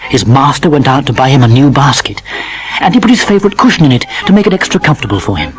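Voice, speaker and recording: A man narrating a scripted children's fairy tale as an expressive storyteller. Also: mid-range voice, fast talker, soft background music, rough recording.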